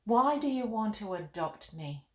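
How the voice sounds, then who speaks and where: A woman reading the part of an earnest, questioning nine-year-old girl in a children's storybook, with an expressive manner. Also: mid-range voice, measured pace. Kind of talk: storybook read-aloud. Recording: roomy, echoing indoor sound.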